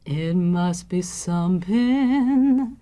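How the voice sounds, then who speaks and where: A woman's voice: lowish voice, expressive, extremely slow, mellow. A woman singing a show tune live as a jazz standard in a radio studio, accompanied by piano. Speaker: singer.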